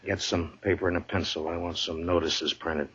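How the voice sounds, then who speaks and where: An actor playing a steady, commanding marshal with a terse, authoritative manner in a 1950s radio western. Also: male voice, low-pitched, normal speed, a little hiss or hum. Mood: grim, businesslike. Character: steady, commanding male Old West U.S. marshal. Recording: dull vintage 1950s radio recording.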